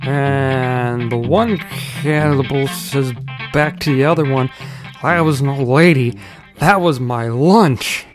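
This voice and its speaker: A man voicing a brash, indignant, wisecracking uncle in an audiobook story, with a loud, cocky, drawn-out delivery. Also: medium pitch, over loud music, natural voice. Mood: joking.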